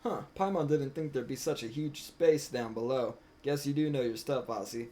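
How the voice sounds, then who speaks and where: A man's voice: medium pitch, moderate pace, dark tone, close to an everyday voice. A man voicing a small, curious, easily spooked fairy companion while reading video game dialogue aloud. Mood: impressed, teasing. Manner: chatty, questioning, speaks in third person. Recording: music behind the voice.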